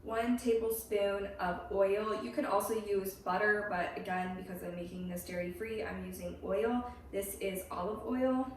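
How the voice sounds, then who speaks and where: A woman with a slight cold and a hoarse voice, chatting through a home cooking tutorial step by step in her kitchen. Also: mid-range voice, normal speed, few pauses, slight background noise. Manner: chatty, explains steps plainly. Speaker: cooking channel host. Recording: voice a little far from the mic.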